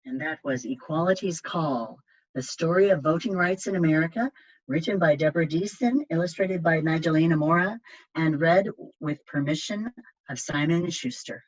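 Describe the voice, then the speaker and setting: A woman reading picture books aloud as a library storytime reader, with measured, emphatic storytelling. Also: deep voice, husky, muffled recording. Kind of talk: expressive read-aloud of children's picture books.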